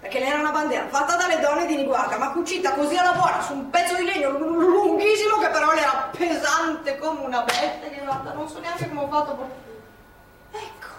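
A woman's voice: sits high, slight background noise. A feisty old partisan woman. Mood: nostalgic. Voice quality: lively, emphatic, mixes dialect into speech. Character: elderly woman, former partisan, feisty and proud. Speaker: stage actress. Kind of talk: stage play acting. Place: theatre stage. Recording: echoey theatre stage from far off.

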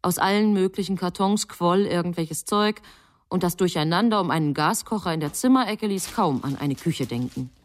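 A woman narrating a literary radio drama as a composed, measured storyteller. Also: on the low side, natural voice. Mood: composed, reflective.

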